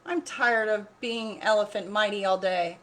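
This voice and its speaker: A woman's voice: mid-range voice, a little slow, fairly bright tone, lightly acted. A woman voicing a haughty elephant king who is weary of his crown, in a grand, emphatic storybook style. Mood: weary, longing.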